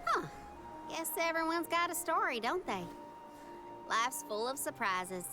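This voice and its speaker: A woman voicing a sassy, easygoing young adventurer in a fantasy video game, with a casual, wry, expressive delivery. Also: sits high, a little slow. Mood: musing, impressed. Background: game music.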